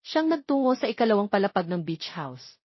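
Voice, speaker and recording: A woman's voice: medium pitch, big pitch swings, fairly quick, breathy edge, no echo. Filipino woman narrating, dry dull sound. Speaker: story narrator. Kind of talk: scripted romance story narration.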